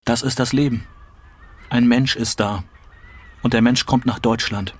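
A man giving a dramatic reading of literary prose in short, deliberate phrases. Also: mid-range voice, fairly even intonation, husky. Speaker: literary reader.

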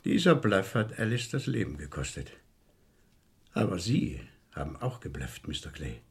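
An actor playing a stern, dogged police inspector in a 1970s radio crime drama, with a rasping, probing delivery. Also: male voice, low-pitched, very animated, moderate pace, exaggerated voice. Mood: stern, accusing.

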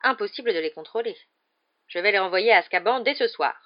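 Une femme qui interprète dans un livre audio un ministre contrarié, soucieux, prompt à protester. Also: female voice, medium pitch, lively intonation, fast, dull audio, pitched above the performer's own voice, close to an everyday voice. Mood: worried. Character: flustered, irritated male government minister. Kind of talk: acted audiobook dialogue.

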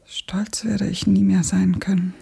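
Grieving revolutionary in radio drama; husky voice, medium-low register, bitter and intense. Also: female voice, flat delivery, measured pace, full character voice. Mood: resigned grief. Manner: intense, sorrowful, sometimes dropping to a hush. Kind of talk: radio play acting.

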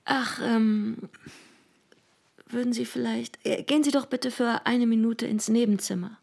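An actress playing an enigmatic, languid woman from a regimented future in a German radio play, with halting, pensive phrasing. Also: a little high, fairly even intonation, gravelly. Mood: quiet, musing. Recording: radio drama with loud music bed. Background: prominent music.

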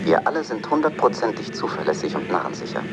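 A man playing a calm, softly menacing spaceship computer in a dubbed science-fiction film, clear and gently arrogant, with no electronic distortion. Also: low-pitched, fast, heavy background noise. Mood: smug calm. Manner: gentle, blasé, quietly arrogant.

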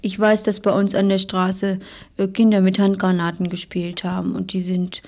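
A woman sharing personal recollections in an unscripted, halting and plain-spoken way. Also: mid-range voice, completely flat delivery, a little mellow, slight background noise.

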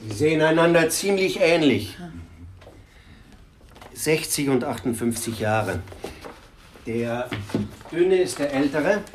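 An actor playing a brusque, composed police commissioner in a radio crime drama, giving orders. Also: male voice, medium pitch, noisy background.

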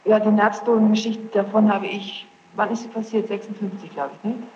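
A woman's voice: medium pitch, fairly even intonation, brisk, a little mellow. Echoey archive tape, young woman testifying. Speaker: witness being questioned. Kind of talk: courtroom testimony. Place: large echoing courtroom. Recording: echoey hall, dull noisy archive tape.

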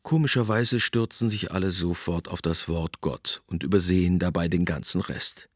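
A man's voice: deep voice, normal speed, no room sound. A man giving essayistic commentary in a dry, explanatory way.

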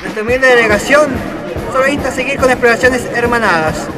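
A solemn official dignitary played by a man in a historical radio play. The voice is very high for a man and formally ceremonial, over music and a crowd. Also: a little fast. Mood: formal, honoring. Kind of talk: radio drama acting, ceremonial oratory.

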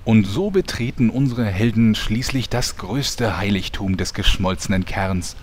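An actor playing a solemn storyteller narrating a heroic fantasy parody audio drama. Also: male voice, on the low side, animated, a little slow, a little hiss or hum. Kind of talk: scripted narration.

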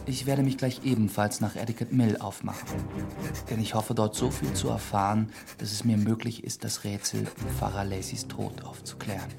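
An actor playing an earnest, deferential priest who narrates a formal letter in a radio drama. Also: male voice, on the low side, fairly even intonation, creaky, music in the background. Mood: dutiful. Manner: formal, measured, humble.

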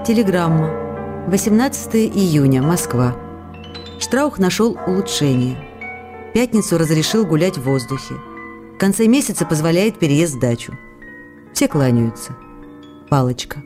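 Female, low register, very mobile intonation. devoted wistful wife reading letters, radio drama, heavy music. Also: big pitch swings, moderate pace, pauses often. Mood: brisk, reassuring. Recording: very loud music behind the voice.

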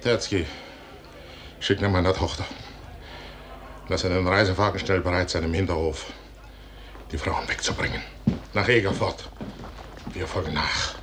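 A man's voice: deep voice, steady tone, normal speed, long pauses, crowd noise, natural voice. A man acting a proud, betrayed older general in declamatory classical verse for a 1950s radio drama. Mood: grim. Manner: declamatory, theatrical. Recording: echoey, noisy 1950s radio recording.

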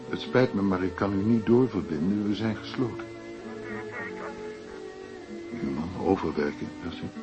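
A man's voice: low voice, highs cut off, lightly acted. An actor playing a dry, muttering night porter who answers an office switchboard in a radio play. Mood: curt.

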